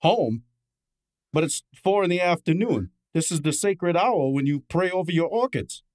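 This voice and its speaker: A man plays a streetwise detective's sidekick in an old-time radio mystery. The voice is on the high side and very dry. He is breezy, loyal and teasing, with slangy wisecracks. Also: expressive, moderate pace, a little mellow, dry recording, lightly acted. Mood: breezy, showing off.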